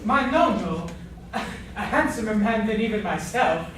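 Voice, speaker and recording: A woman's voice: medium pitch, expressive, normal speed, natural voice. A woman playing a pompous, insulting male restaurant don, telling family legend grandly in an amateur stage comedy. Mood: pompous, scornful. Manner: grandiose storytelling, emphatic. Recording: very echoey stage, distant mic, clear noise.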